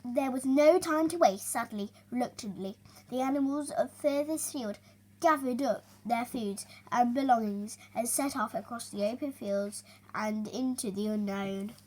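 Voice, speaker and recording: A young girl reading a children's storybook aloud, expressive but halting, with small stumbles and chatty asides. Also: a little high. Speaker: young reader hosting a story video.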